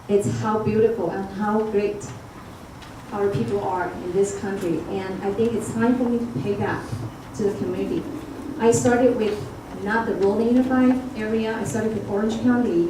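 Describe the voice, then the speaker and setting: A woman with a Chinese accent, a school board candidate, giving an earnest forum statement in non-native phrasing. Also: mid-range voice. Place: outdoor park event. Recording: echoey, far from mic, noisy.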